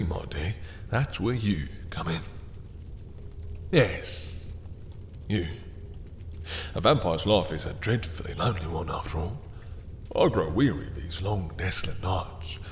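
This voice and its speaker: Man playing a low-voiced, silky, mocking male vampire. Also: animated, measured pace, pauses often, big-room sound, slight background noise. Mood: seductive, possessive. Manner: silky, mocking, theatrically courteous, lingering over words. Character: dominant, obsessive male vampire taunting a captured hunter. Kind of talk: intimate roleplay audio drama monologue.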